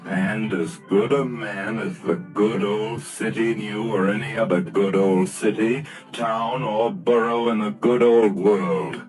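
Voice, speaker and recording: A man narrating the close of a classic story in a measured storybook manner on an old radio broadcast. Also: on the low side, poor audio. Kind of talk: scripted narration.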